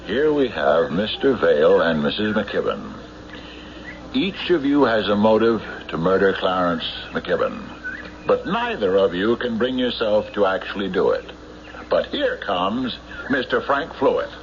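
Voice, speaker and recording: An actor in an old-time radio drama playing a shrewd, relentless sheriff who questions suspects with deliberate, accusatory pauses. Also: male voice, fairly low pitch, big pitch swings, dull audio. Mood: commanding, sardonic. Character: shrewd, relentless small-town sheriff interrogating murder suspects. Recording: noisy band-limited old radio broadcast recording.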